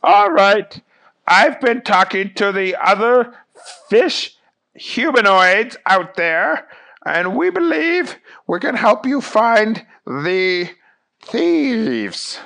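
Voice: medium pitch, very animated, drawn-out words, vocal fry, sharp tone, pitched above the performer's own voice, full character voice. A storyteller voicing a proud, earnest fish-man superhero with a grand, declaring way of talking.

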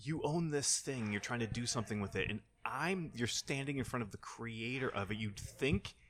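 A man's voice: medium pitch, lively intonation, moderate pace, fairly bright tone. A man hosting an interview podcast, speaking in a conversational way. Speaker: podcast host.

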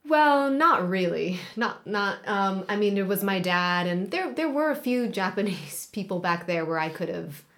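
A woman's voice: medium pitch, animated, normal speed, hardly any pauses, a bit bright. A woman from Israel hosting an interview, speaking casually and a bit hesitantly. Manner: hesitant, many fillers. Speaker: podcast host.

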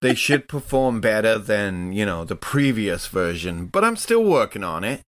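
A man's voice: on the low side, very animated, moderate pace. A man casually narrating his character's actions in a tabletop game, in a loose, rambling way.

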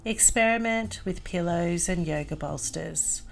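A woman leading a guided meditation as host, calm and soothing, in deliberate phrases with long pauses. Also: fairly low pitch, gravelly, soft background music, rough recording.

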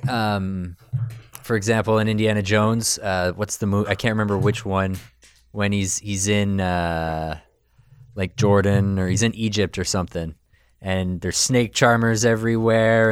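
A man with a very low voice co-hosting a casual podcast. He recaps episodes in a relaxed, halting way, often pausing on fillers. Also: clean tone, dry recording. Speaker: podcast co-host. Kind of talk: casual cartoon review chat.

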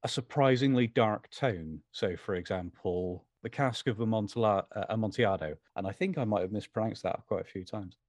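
A man with a slightly low voice talks casually as an interview guest. He is polite and a little hesitant, and the recording is very dry. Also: fairly quick, hardly any pauses, a little mellow, no room sound. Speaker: guest, voice actor.

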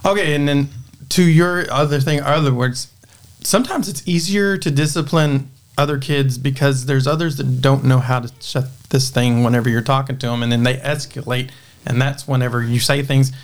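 A man's voice: mid-range voice, steady tone, normal speed. A man co-hosting a podcast and talking as a parent in casual chat, rambling as he vents. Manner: venting, rambling.

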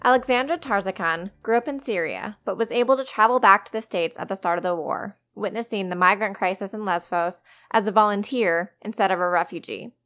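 A woman at a middle pitch hosting a podcast. She reads her intro in a polished, scripted way, then moves on to questions for her guest. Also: brisk, hardly any pauses, a bit bright, soft background music. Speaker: podcast host.

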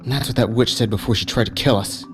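A man's voice: mid-range voice, lively intonation, moderate pace, over music, pitched above the performer's own voice, lightly acted. A man voicing a wary, defiant young boy in an audiobook, steely and frustrated. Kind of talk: audiobook character voice.

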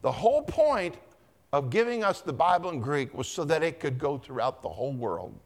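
A man's voice: on the high side, sing-song, moderate pace. A man, a pastor, teaching a Bible lesson in church, quizzing the congregation and calling on people by name. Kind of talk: interactive Bible teaching with quiz questions.

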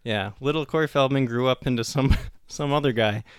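A man's voice: medium pitch, a little fast, clean tone, no room sound, clean studio sound. A man chatting casually and jokingly as a guest on a movie podcast, with a laid-back manner. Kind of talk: casual chat.

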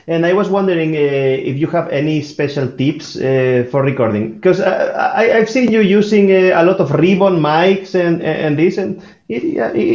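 A man co-hosting a casual interview, speaking haltingly and repeating words as he goes. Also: on the high side, darkish tone. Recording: roomy, dull lo-fi audio.

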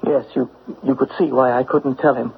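An actor playing a stubborn, loyal young gentleman in love in a classic radio drama, earnest, wounded and formal. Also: mid-range voice, little pitch movement, normal speed, vocal fry, breathy edge. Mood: earnest, yielding. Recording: phone-like old radio recording, faint noise.

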